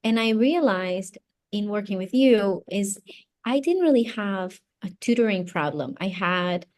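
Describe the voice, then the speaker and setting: Female guest from Brazil, native Portuguese speaker, medium pitch, halting conversational answers. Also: lively intonation, a little mellow, a little hiss or hum. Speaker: interview guest, psychology doctoral graduate. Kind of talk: casual interview answers.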